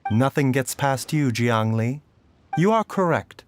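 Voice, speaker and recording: An actor playing a composed, gentle and guarded young doctor in a video game, speaking politely and softly. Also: male voice, mid-range voice, expressive, measured pace, soft background music, close to an everyday voice. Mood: composed, admiring. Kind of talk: scripted video game voice acting.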